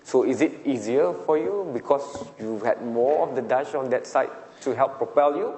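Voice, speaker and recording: A man's voice: medium pitch, normal speed, a little mellow. A man moderating a panel, putting questions in a conversational way. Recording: echoey hall with faint noise.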